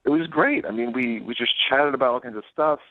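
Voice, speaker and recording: A man's voice: medium pitch, a little fast, dry recording. A man telling a casual, self-deprecating story as a caller on a telephone line. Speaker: call-in guest telling a dating story. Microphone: phone.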